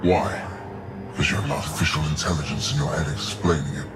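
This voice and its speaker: An actor playing a menacing, imperious male alien villain in a video game, haughty and gravelly. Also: very deep voice, fairly even intonation. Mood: menacing contempt. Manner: measured, haughty, threatening. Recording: very loud music under voice.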